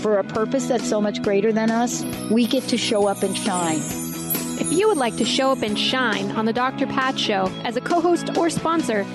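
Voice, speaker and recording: Woman, radio host, chatty, folksy, informal promo read, loud music behind. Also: a little high, animated, moderate pace.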